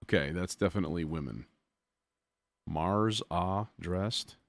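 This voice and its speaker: Very deep-voiced man, slow and muttering. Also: gravelly, noisy background. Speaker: music production tutorial host. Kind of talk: casual live demo, thinking aloud.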